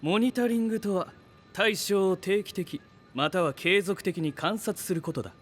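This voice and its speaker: A man's voice: high-pitched, normal speed. A man playing a haughty, logical young scientist-knight in an audio drama, lecturing formally with cool self-assurance. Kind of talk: anime-style audio drama acting.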